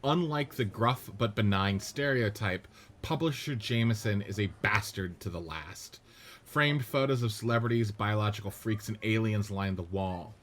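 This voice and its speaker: A man narrating the stage directions of a script reading in a steady, matter-of-fact way. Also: lowish voice, normal speed, slight background noise.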